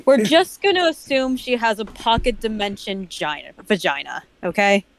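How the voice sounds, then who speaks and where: Female co-host, average pitch, blunt sarcastic commentary, crude humour, dry recording. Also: animated, normal speed, no room sound, low-quality recording. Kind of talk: casual group chat and commentary.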